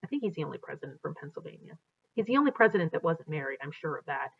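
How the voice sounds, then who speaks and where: A woman's voice: sits low, very animated, racing, vocal fry, husky. An American woman reads a history book aloud as a teacher, in an explanatory, teacherly way. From United States. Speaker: teacher reading aloud to students. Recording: dull, lo-fi sound.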